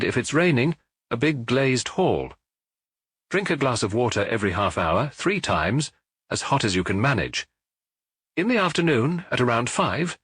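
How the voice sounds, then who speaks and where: A man voicing a calm, courteous male spa doctor in a storybook reading, speaking in a measured, polite and reassuring way. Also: medium pitch, husky, no echo, studio quality, voice pushed up.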